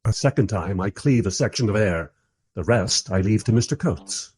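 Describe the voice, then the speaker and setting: A man plays a wry observer narrating a radio play. His voice is husky and mid-pitched, moving in lively swoops through dry, literary storytelling. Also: normal speed. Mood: dryly amused. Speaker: first-person narrator.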